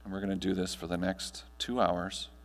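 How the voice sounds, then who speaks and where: An American man in his thirties speaking as a trainer to an audience, guiding them in a measured, instructional way with deliberate pauses. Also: low voice, little pitch movement, in a large hall, slight background noise. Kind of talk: guided breathing meditation and talk to an audience. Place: large hotel conference ballroom, on stage.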